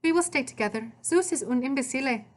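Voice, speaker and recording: A narrator acting a gentle Italian mother from a storybook, her voice lifted high. She is tender and loving, yet firm and fearless, with an Italian accent. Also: expressive, quick pace. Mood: defiant.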